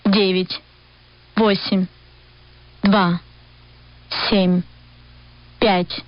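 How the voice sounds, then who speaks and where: Woman slowly reading numbers, dull lo-fi radio sound, kinda flat. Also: medium pitch, no room sound. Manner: flat, measured single-number delivery. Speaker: numbers station voice. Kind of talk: reading digit groups. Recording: dull lo-fi shortwave recording.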